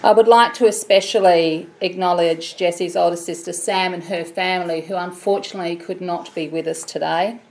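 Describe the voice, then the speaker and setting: Aussie lady running a funeral in a chapel, big loud voice with some grit, slow and solemn. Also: fairly low pitch, steady tone, low-quality recording. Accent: Australian. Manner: measured, solemn delivery. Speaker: funeral celebrant. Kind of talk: officiating a funeral service.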